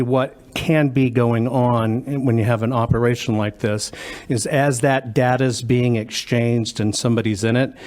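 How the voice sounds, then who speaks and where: Man testifying at a hearing, very even flat voice, casual explaining. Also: mid-range voice. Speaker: witness speaking at a hearing. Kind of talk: informal spoken explanation. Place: government hearing room, at a podium.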